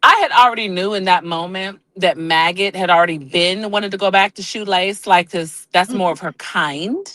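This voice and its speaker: A woman's voice: mid-range voice, normal speed, hardly any pauses, fairly bright tone, dry recording. A woman guest on a livestream, chatting casually and sassily from a truck stop while on the road for work. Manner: sassy, calls people girl and honey. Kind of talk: casual chat.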